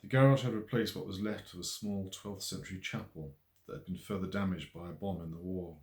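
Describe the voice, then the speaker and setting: A man reading a novel aloud as its author, in measured, expressive literary narration. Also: low-pitched. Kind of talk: scripted audiobook narration. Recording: roomy echo.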